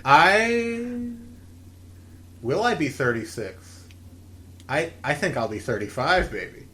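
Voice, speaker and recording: A man's voice: on the high side, takes it slowly, slight background noise. A man in his late twenties chatting casually as a podcast co-host, opinionated and given to swearing. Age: late 20s. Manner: opinionated, profane, animated when worked up. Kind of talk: casual chat.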